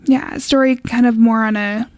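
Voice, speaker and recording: A woman's voice: on the high side, fairly even intonation, normal speed, darkish tone, no room sound. A woman hosting a podcast in casual, reflective conversation. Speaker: podcast host. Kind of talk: casual chat.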